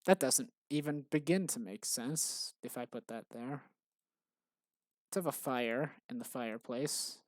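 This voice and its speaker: A man making a game who rambles in casual commentary while he works, in a tired, monotonous, deadpan voice. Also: a little slow, no room sound, studio quality. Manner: tired, low-energy. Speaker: game developer vlogger.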